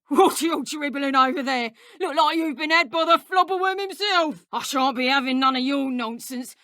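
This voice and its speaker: Brash, high-voiced English dark witch. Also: no room sound, clean studio sound. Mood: scornful, indignant. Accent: English, working-class. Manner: colloquial, cheeky, taunting. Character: brash, menacing female dark wizard poacher, boastful, scornful. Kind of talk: scripted video game enemy voice lines.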